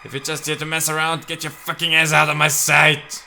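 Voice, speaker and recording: A man's voice: on the high side, completely flat delivery, fairly quick, creaky, pushed and bright, a little hiss or hum, pitched above the performer's own voice, exaggerated voice. A man voicing a hostile, foul-mouthed old war veteran in a visual novel, cursing angrily. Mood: furious, hostile. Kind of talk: voiced visual novel dialogue.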